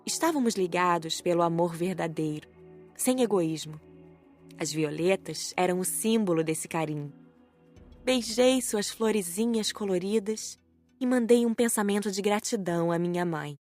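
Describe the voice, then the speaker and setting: A woman's voice: fairly low pitch, moderate pace, a little mellow, faint music behind. A woman reading scripted first-person narration as an audiobook narrator.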